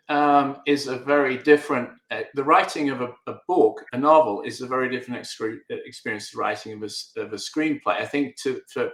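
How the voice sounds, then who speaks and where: English-accented male director, halting and wry, on a video call from a roomy, echoey space. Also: mid-range voice, fairly bright tone. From England. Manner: hesitant, many restarts and fillers. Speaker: filmmaker guest. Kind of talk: remote interview answers.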